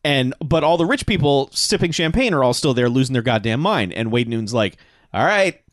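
A man's voice: medium pitch, big pitch swings, fairly quick, no echo, studio quality. A man co-hosting a casual podcast, swearing freely and laughing as he animatedly retells a movie's plot. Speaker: podcast co-host. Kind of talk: casual chat about a movie.

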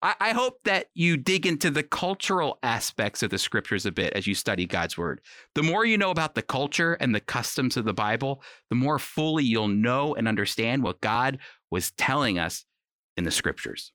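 A man's voice: mid-range voice, big pitch swings, moderate pace, bright, forceful tone, dry recording, studio quality. A man giving conversational, scripted Bible teaching in an earnest, explanatory manner. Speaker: Bible teacher on a radio program.

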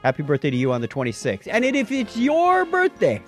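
A man's voice: sits high, huge pitch swings, a little fast, a bit bright, soft background music. A man hosting a podcast, chatting casually through news items and explaining them in a wry, jokey way. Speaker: podcast host. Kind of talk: casual news chat with banter.

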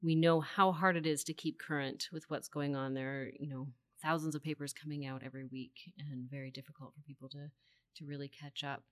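A woman's voice: low-pitched, fairly even intonation, fairly quick, no room sound, studio quality. A woman moderating a podcast panel, posing questions to the guests and summing up their points.

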